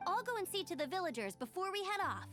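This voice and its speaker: A woman's voice: very high voice, sing-song, quick pace, over music. An actress playing a dutiful, earnest, resolute young female knight in a video game. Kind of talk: video game character dialogue.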